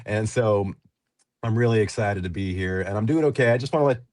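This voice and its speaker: Deep voiced guy getting over a cold, earnest, lots of ums, dry mic. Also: darkish tone, dry recording. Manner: earnest, halting with many fillers. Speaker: guest. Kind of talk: conversational interview, personal story.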